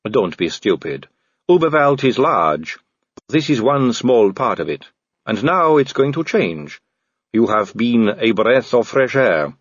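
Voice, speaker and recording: A man voicing a sardonic female vampire aristocrat, speaking calmly with a slow drawl. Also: medium pitch, husky, no room sound, dull audio. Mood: languid. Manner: calm, languid, drawling.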